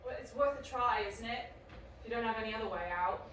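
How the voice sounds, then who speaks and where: A woman's voice: fairly high pitch, brisk, a bit bright, recorded from a distance, natural voice. An actress playing an outspoken, irreverent young woman in a science fiction audio drama, blunt, exasperated and full of questions. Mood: bitter, wry. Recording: very echoey, off-mic, faint noise.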